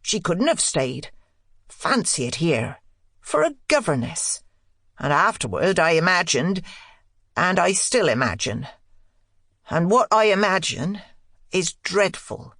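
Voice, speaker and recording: A woman voicing a troubled, plain-spoken older housekeeper in an audiobook, halting and woeful, full of hushed dread. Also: fairly low pitch, big pitch swings, slightly rough, no echo, studio quality, exaggerated voice. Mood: fearful. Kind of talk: audiobook character dialogue.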